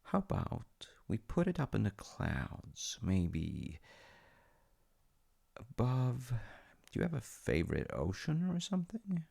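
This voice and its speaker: A man playing a playful, tender wizard fiancé in an intimate ASMR-style audio roleplay, speaking to the listener in a gentle, coaxing way. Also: medium pitch, wildly swooping pitch, slow, gravelly, slightly rough, full character voice. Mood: playful, enthusiastic. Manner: gentle, coaxing, often dropping into whispers. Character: playful, tender male wizard fiancé showing off magical home.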